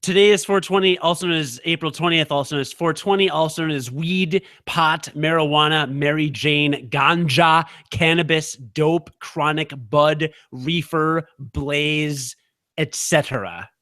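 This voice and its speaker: A man co-hosting a podcast in casual chat, joking and ranting excitably. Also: fairly high pitch, measured pace, no echo, clean studio sound. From Illinois. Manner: excitable, jokey, rambling rants. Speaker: podcast co-host.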